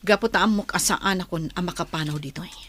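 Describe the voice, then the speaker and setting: Woman acting rebel older sister, Ilocano accent, lowish voice, grave, admonishing, radio drama. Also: quick pace, fairly bright tone, slight background noise, natural voice. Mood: grave, worried.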